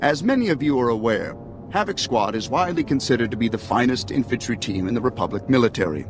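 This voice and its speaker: A man's voice: medium pitch, lively intonation, fast talker, background noise, natural voice. Man acting a pompous, dramatic scheming senator. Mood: accusing, oratorical. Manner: pompous, oratorical. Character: scheming, self-righteous senator, secretly a traitor, male. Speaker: politician. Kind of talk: video game voice acting, political speech. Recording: music behind the voice.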